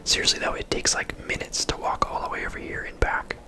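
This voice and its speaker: Man, steady whisper, ASMR gaming host, unhurried conversational delivery, casual game commentary.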